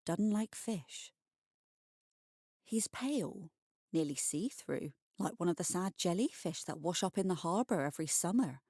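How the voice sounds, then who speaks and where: A woman narrating a scripted bedtime short story for adults, expressive and wry. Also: on the low side, unhurried, breathy edge, dry recording, clean studio sound.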